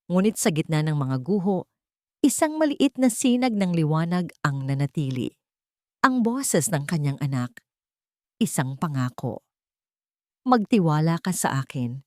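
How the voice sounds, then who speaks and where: A woman narrating a romantic drama in a steady, dramatic storytelling manner. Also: lowish voice, normal speed, no room sound, clean studio sound. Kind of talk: scripted audiobook narration.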